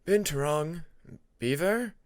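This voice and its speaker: A man acts out a male dragon who teaches history in a fantasy audiobook. He sounds formal and authoritative, giving instructions with animated pitch in a dry, close recording. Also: takes it slowly, dry recording. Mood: questioning.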